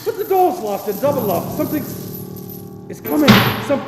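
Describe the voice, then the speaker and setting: A frightened, grumpy old miser in a theatrical radio play. His voice sits high and swings widely as he stammers and exclaims. A long hall echo surrounds him, with music underneath. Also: very animated, normal speed, exaggerated voice. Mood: alarmed. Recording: echoey hall reverb with music behind.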